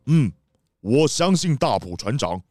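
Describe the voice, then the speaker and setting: A man's voice: medium pitch, sing-song, measured pace, a little mellow, no echo, clean studio sound, soft background music. An actor playing a jovial, reassuring city mayor who addresses his guests like a grand host in a children's mystery audio drama. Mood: inquiring, trusting.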